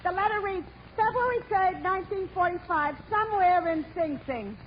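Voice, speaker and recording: A woman's voice: extremely high pitch, normal speed. A woman playing a brassy, cheeky, lovestruck maid, sassy and blunt, in 1940s radio comedy style. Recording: dull, noisy 1940s radio recording.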